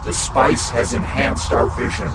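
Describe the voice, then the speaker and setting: An actor playing a cold, eerie envoy of a secretive merchant house in a video game cutscene, speaking in clipped, formal, detached, halting phrases. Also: male voice, mid-range voice, little pitch movement, close to an everyday voice. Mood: ominous, intense. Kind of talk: scripted video game cutscene acting, mission briefing. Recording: distant, echoing voice under loud music and noise.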